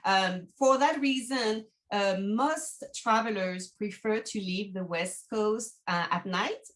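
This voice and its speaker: A woman with a French accent hosts a travel video podcast. She sounds middle-aged and speaks at an ordinary female pitch, delivering a prepared presentation to her audience. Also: slow, a bit bright. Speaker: podcast host, travel company team manager.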